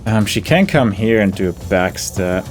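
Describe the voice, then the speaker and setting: A man's voice: fairly low pitch, expressive, normal speed, over music. A man giving casual game commentary on his own playthrough, thinking aloud and easily amused.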